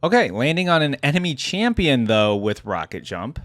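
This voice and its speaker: A woman's voice: extremely low pitch, sing-song, normal speed, no echo. A woman giving casual reaction commentary as a video host, close to the microphone.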